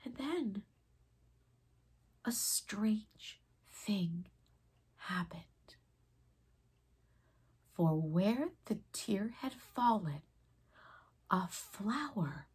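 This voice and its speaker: Breathy woman reading a children's story. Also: medium pitch. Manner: dramatic, with hushed breathy passages and pauses. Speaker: storybook reader. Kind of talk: expressive children's story narration.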